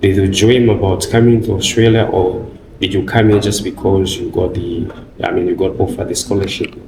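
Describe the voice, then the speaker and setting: A man hosting an interview, with a warm, encouraging manner and many murmured backchannels. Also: deep voice, flat delivery, normal speed. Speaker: interview host. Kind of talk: casual interview. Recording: roomy and distant with background noise.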